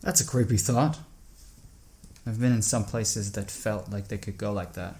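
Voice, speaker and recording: Troubled everyman driver played by a male actor, slightly low male voice, wary and inquisitive, natural delivery for audio drama. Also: moderate pace, darkish tone, close to an everyday voice. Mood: wary, probing. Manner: conversational, wondering, wary. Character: uneasy, questioning man driver, curious and troubled.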